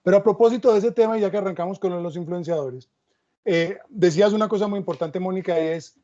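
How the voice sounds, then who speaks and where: A man's voice: high voice, quick pace, mellow. A man moderating an academic panel, reflecting on the topic and adding stories. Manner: reflective, storytelling asides. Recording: dull lo-fi sound.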